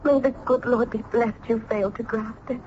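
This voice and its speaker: A woman's voice: a little high, steady tone, fairly quick, muffled recording, natural voice. Casting: woman as innocent young maiden, earnest and formal, old-fashioned diction, on a noisy vintage radio play recording. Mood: alarmed, anguished. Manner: earnest, formal, archaic phrasing. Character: gentle, innocent young maiden, a doctor's sheltered daughter. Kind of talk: old-time radio drama acting.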